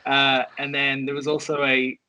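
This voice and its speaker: A man's voice: medium pitch, completely flat delivery, moderate pace, bright, forceful tone, dry recording, rough recording. A man chatting casually as a guest on a podcast. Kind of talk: casual chat.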